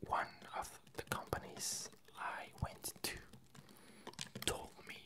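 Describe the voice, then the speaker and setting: A young woman with a French accent chatting in whispered ASMR style, hesitant and pausing often. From France. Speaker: ASMR video creator.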